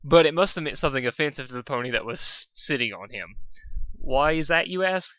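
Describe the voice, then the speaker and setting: A man's voice: mid-range voice, expressive, a little fast, fairly bright tone, dry recording. A man narrating a story informally as an amateur reader, stumbling over words and breaking off for asides. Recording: dull, lo-fi home recording.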